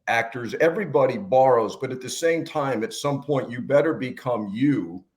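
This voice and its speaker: Male host, animated intonation, conversational questions, quotes sayings. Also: medium pitch, moderate pace. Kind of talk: casual interview.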